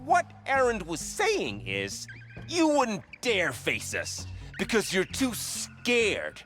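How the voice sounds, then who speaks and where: An actor playing a sensible young warrior companion in a comedic animated game, calm and earnest in manner. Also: male voice, high-pitched, slow, faint music behind, exaggerated voice. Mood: challenging.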